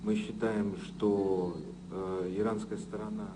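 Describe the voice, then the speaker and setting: A man giving spoken official remarks to the press in a halting way. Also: fairly low pitch, level tone. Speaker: official making a statement. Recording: very noisy, humming location sound.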